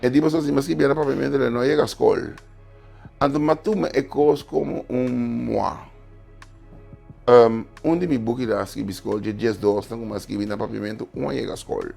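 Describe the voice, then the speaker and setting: Gravelly, husky-voiced man. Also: mid-range voice, steady tone, a little fast, darkish tone, faint music behind. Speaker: guest writer. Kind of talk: studio talk show discussion.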